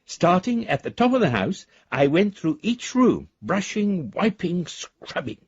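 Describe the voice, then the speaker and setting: A man's voice: sits high, very animated, measured pace, slightly rough, dry recording, muffled recording, heavily acted. An actor playing an eccentric, grandiose amateur philosopher, reading his letter in pompous, florid phrases for a radio drama. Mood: exalted, self-important.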